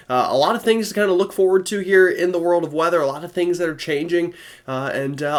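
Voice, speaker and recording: Congested young man giving a chatty forecast. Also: on the high side. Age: young adult. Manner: chatty, many fillers. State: congested from a cold. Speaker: weather forecaster. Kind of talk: casual forecast commentary.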